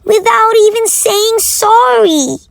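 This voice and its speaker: A woman's voice: extremely high pitch, slow pace, vocal fry, dry recording, pitched above the performer's own voice, heavily acted. A woman voicing an earnest, emphatic small girl in a children's storybook. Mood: earnest fibbing. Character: small guilty girl telling a tall tale. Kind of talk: children's storybook read-aloud. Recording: faint background noise.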